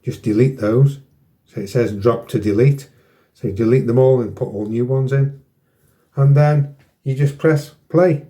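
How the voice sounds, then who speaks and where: A man's voice: mid-range voice, unhurried, warm tone. A man giving a casual, unscripted explanation as a hobby vlogger, hesitating and repeating himself as he goes. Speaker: model railway vlogger.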